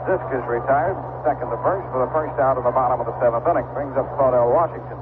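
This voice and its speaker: A man calling baseball play-by-play on live radio in a measured, descriptive way with conversational asides. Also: medium pitch, phone-quality sound. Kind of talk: live radio sports commentary. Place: outdoor ballpark broadcast. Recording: noisy vintage 1970s AM radio broadcast.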